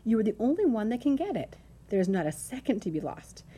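A woman's voice: medium pitch, huge pitch swings, normal speed, warm tone, husky. A woman voicing a devoted, emotional young male pig in a storybook, with an earnest, urgent way of speaking. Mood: urgent pleading.

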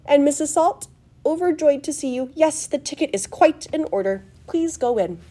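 A woman voicing an excitable, eccentric old male factory owner in a storybook, high and fluty, with effusive, gushing exclamations. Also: normal speed. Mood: gushing delight.

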